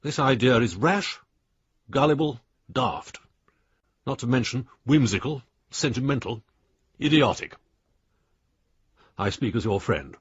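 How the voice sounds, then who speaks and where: Blunt, husky older Englishman. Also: mid-range voice, lively intonation, unhurried, pauses often, no room sound. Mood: exasperated. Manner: clipped, blunt, scornful. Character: blunt, exasperated old friend and professional adviser, male. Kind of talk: radio drama, reading letters aloud. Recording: dry, slightly dull studio sound.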